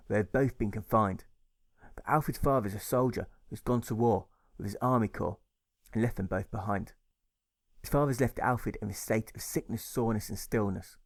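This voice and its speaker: A man reading a rhyming audiobook story aloud in measured, rhythmic phrases. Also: fairly low pitch, dry recording. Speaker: author reading his own audiobook. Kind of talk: scripted storybook narration in rhyme.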